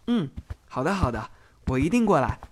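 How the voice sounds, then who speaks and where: A man's voice: a little high, big pitch swings, normal speed, a little hiss or hum. A man acting a playful, stubborn young man in an audio drama. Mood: evasive, brisk.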